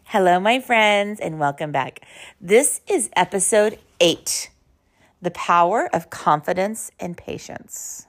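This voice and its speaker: A woman hosting a podcast in an informal, conversational and emphatic way, telling personal stories in a slightly hoarse voice. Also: mid-range voice, slow. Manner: conversational, emphatic, uses rhetorical questions. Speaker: podcast host.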